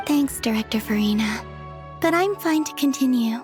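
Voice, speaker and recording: A woman voicing a gentle, dreamy, otherworldly young woman in a video game, soft, airy and serene. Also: a little high, animated, moderate pace, lightly acted. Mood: mild, distracted. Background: music.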